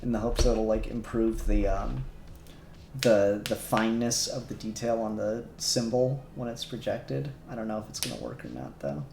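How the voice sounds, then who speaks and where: Streamer type, a man with a gravelly voice, chatting while he works, echoey room. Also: lowish voice, moderate pace, darkish tone, slight background noise. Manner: mutters settings aloud while working, chuckling. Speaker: streamer doing 3D render work. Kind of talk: casual livestream chat.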